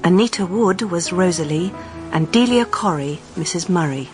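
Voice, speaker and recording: A woman's voice: on the low side, lively intonation, moderate pace. A woman announcer reading scripted broadcast credits in a formal, composed way. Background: music, piano.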